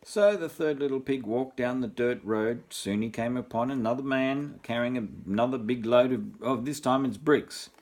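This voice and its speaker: A man's voice: medium pitch, moderate pace, hardly any pauses. An older Australian man, a grandfather, reads a storybook informally, wandering off into jokey, repetitive asides. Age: 60s or older. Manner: jokey, rambling, repeats himself with deadpan asides. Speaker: grandfather reading a bedtime story.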